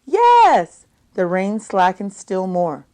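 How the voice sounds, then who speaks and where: Woman, lowish pitch, audiobook narrator, expressive, measured, dramatic story reading. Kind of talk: dramatic story read-aloud.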